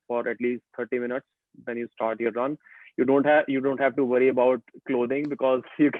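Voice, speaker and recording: A man gives practical, matter-of-fact running advice in a flat, even voice. His audio is thin and phone-like, with a dry sound and no room echo. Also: mid-range voice. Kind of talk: panel discussion on a video call. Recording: tinny phone-like call audio.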